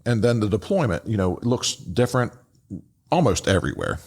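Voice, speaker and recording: A man hosting a podcast with casual, unscripted commentary, speaking haltingly and often repeating his words. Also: fairly low pitch, very animated, gravelly, breathy edge. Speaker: podcast host.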